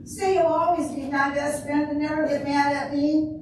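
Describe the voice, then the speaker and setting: An older woman playing a grumpy, cheeky friend on a beach trip in an amateur comedic stage reading, with a deadpan, wisecracking delivery. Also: high-pitched, normal speed, lightly acted. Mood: sheepish, pleading. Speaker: amateur readers theater performer. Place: large echoey room before an audience. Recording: echoey hall from far off, noisy.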